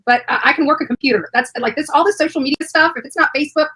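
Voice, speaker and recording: A woman's voice: sits high, rapid-fire, sharp tone. A woman in her early forties in casual, emphatic discussion, often repeating phrases to drive a point home. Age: early 40s. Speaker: true crime YouTuber.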